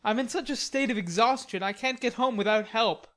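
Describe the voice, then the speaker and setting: A woman plays a cheerful young gentleman from a storybook, pitched up and full of playful theatrics. He teases and exclaims with melodramatic flair. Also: a little fast, close to an everyday voice. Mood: playful, mock-weary. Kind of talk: audiobook character dialogue.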